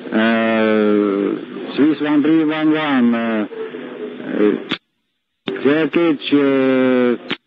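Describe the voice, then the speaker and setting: A man's voice: medium pitch, extremely slow. A male air traffic controller on aviation radio, hesitantly groping for English words. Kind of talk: radiotelephony instructions. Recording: dull radio transmission.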